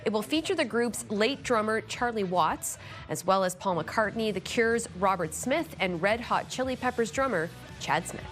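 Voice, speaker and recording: A woman's voice: medium pitch, lively intonation, normal speed, a bit bright, soft background music. A woman reading scripted local news as the anchor.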